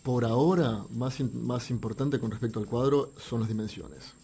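A middle-aged man with a Uruguayan accent giving explanatory interview answers as a guest, halting and thoughtful, repeating words as he searches for the next one. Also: mid-range voice, gravelly, warm tone, soft background music. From Uruguay, raised abroad in France, Venezuela and Argentina. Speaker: interview guest, visual artist. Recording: faint background noise, drifting off mic.

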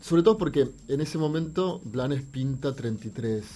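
A middle-aged man with a Uruguayan accent giving explanatory interview answers as a guest, halting and thoughtful, repeating words as he searches for the next one. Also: medium pitch, animated, warm tone. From Uruguay, raised abroad in France, Venezuela and Argentina. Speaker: interview guest, visual artist. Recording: faint background noise, drifting off mic.

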